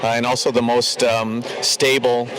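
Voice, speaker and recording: A man giving a promotional pitch as an industry representative, explaining in a somewhat halting way. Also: level tone. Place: press event show floor. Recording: noisy event floor background.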